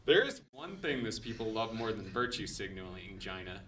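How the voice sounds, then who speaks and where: A man's voice: fairly low pitch, big pitch swings, moderate pace. A man playing an insecure, self-righteous young city mayor, defensive and whiny, in a comedic table read. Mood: pleading. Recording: distant mic, faint background noise.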